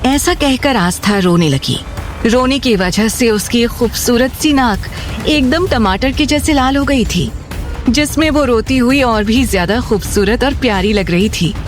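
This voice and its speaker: A woman's voice: fairly high pitch, animated, unhurried. A woman narrating a scripted, dramatic story as the storyteller of an audio series. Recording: music bed under the voice.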